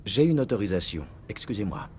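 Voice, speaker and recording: An actor playing a steady, direct military commander, the hero of a video game, with a composed manner. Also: male voice, fairly low pitch, brisk, a little hiss or hum. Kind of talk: video game dub dialogue.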